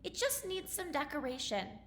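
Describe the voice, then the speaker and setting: Woman voicing sweet, high-pitched hopeful girl. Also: big pitch swings, normal speed. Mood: happy, eager. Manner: eager, earnest, gentle. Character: sweet, hopeful young Cinderella, kind servant girl. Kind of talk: storybook read-aloud. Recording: roomy echo, faint background noise.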